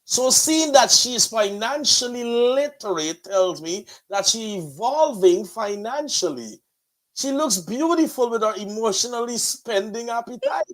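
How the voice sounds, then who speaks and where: A man's voice: extremely high pitch, lively intonation, unhurried. A man with a Guyanese accent hosting a motivational show like a preacher, with emphatic, flowery, repetitive rhetoric. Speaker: host and pastor of a motivational online show.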